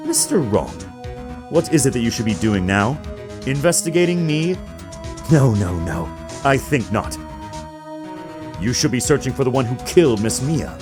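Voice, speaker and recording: A pompous corporate villain from a game drama, acted by a man. He talks down to people with mannered menace. His pitch rises and dips widely, with faint music behind. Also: big pitch swings, normal speed, long pauses, lightly acted. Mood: haughty scorn. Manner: haughty, mannered, condescending. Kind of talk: voiced video game drama.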